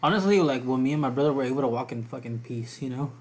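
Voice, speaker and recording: A man's voice: mid-range voice, fast talker, darkish tone. A man whose first language was Spanish, giving blunt, short, profane answers in a casual podcast chat. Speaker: podcast co-host.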